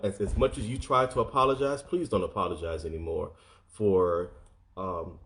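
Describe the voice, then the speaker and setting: Earnest low-voiced man giving encouragement. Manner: earnest, repeats words, addresses listeners directly. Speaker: motivational speaker. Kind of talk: encouraging motivational talk.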